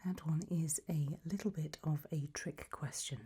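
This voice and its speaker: A woman doing a whispered ASMR roleplay as an examiner, hushed and deliberate, pausing between short phrases. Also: low voice, husky. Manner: slow, deliberate, softly instructive with long pauses between options.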